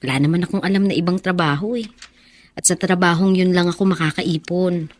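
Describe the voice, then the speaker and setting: An actress with a Filipino accent playing a poor, orphaned young bar hostess in a radio drama, wistful and soft-hearted. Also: female voice, lowish voice, steady tone, brisk, noisy background, natural voice. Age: young adult.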